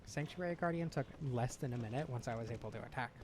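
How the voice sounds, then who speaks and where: A man's voice: mid-range voice, fast talker. A man giving casual gameplay commentary, his voice a little scratchy from weeks of not talking. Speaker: gaming channel host. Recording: home room with fan noise behind. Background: fan noise.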